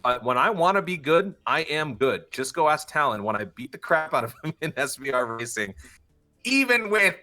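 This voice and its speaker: Bright-voiced young man, playful and jokey. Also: a little high, very animated, moderate pace, faint music behind. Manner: playful, jokey, self-deprecating. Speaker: podcast guest, voice actor. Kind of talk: casual podcast chat.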